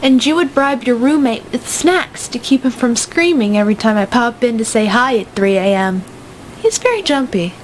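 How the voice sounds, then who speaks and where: Woman acting as teasing young woman, fond, playful, high voice, radio play, faint noise. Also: animated, normal speed, lightly acted, rough recording.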